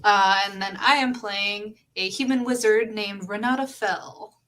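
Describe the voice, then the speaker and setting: A woman's voice: mid-range voice, measured pace, a bit bright. A woman author casually introducing herself as a panel guest.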